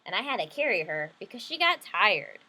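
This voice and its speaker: A woman's voice: mid-range voice, very animated, a little fast, bright, forceful tone, husky, full character voice, rough recording. A woman playing a young critter boy, a patient but grudging big brother telling his storybook tale. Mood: put-upon.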